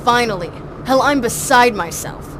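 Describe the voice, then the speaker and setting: An actress playing a cocky, vengeful action heroine in a video game, with a brash, wry delivery. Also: female voice, fairly high pitch, lively intonation, normal speed, natural voice. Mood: eager, excited. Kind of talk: character acting in a video game. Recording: clear ambient noise behind voice.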